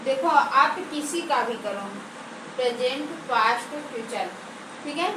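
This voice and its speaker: A woman teaching a grammar class, asking questions and answering them herself, dictating slowly. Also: sits high, animated. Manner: asks and answers her own questions; slow and dictating. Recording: echoey room, mic far off, noisy.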